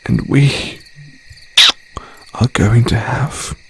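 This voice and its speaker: A man's voice: medium pitch, fairly even intonation, very slow, slight background noise. A man playing an obsessively loving, menacing male werewolf in an audio roleplay, with intense, dramatic delivery. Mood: anguished devotion.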